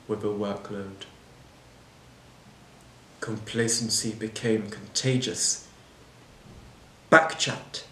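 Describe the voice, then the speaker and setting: A man acting a bitter, disillusioned manager in a scripted dramatic monologue, solemn and deliberate, pausing between short phrases. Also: medium pitch, natural voice. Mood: indignant. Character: bitter, disillusioned airport manager defending replacing people with machines. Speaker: actor performing a monologue. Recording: roomy echo, clear background noise.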